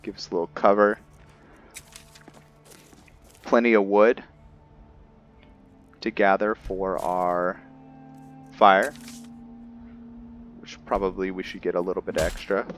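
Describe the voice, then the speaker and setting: A man giving casual commentary as he plays a roleplaying game, thinking aloud in a halting way. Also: sits low, fairly even intonation, muffled recording, faint music behind. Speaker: gameplay host.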